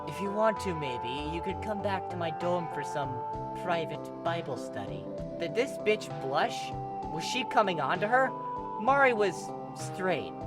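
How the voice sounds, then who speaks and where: Wry fan-fiction narrator, music behind. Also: male voice, medium pitch. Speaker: story reader.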